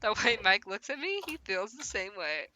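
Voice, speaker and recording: A woman's voice: medium pitch, big pitch swings, normal speed, sharp tone, a little hiss or hum. A woman livestreamer reading a visual novel aloud, mixing narration with giggly, teasing, theatrical banter. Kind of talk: livestream play-along, narration mixed with excited banter.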